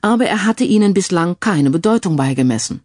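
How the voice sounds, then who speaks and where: A woman narrating a scripted crime audiobook in a measured, clearly read manner. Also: lowish voice, animated, a little mellow, dry recording, studio quality.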